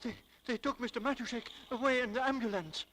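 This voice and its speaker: An actor playing a frantic, stammering shop employee bringing urgent word in a 1940s radio drama. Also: male voice, extremely high pitch, fast, a bit bright, slight background noise. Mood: panicked. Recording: vintage 1940s radio broadcast.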